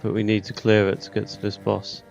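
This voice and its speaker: A man's voice: fairly low pitch, monotone, brisk, gravelly, a little mellow, lo-fi sound, soft background music. A man giving casual, colloquial gameplay commentary, thinking aloud as he goes. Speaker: gaming YouTuber.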